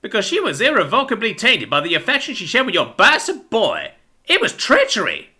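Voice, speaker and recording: A man's voice: sits high, huge pitch swings, fairly quick, sharp tone, pitched above the performer's own voice, exaggerated voice. A man voicing a crazed, vengeful father mouse in a story, ranting in a righteous, theatrical way. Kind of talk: dramatic storybook character voice.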